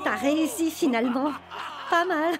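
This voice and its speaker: Une femme en jeune sportive à la voix très aiguë. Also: female voice, sits high, normal speed, close to an everyday voice. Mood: wry. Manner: challenging, cheeky, direct. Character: bold, teasing young female athlete. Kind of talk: French cartoon dub acting.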